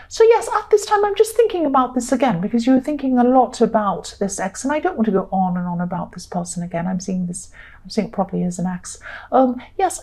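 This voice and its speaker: A woman's voice: medium pitch, mellow. A woman giving a conversational tarot reading, speaking straight to the listener in a reassuring way and often repeating her points. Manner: repetitive, reassuring. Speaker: tarot reader.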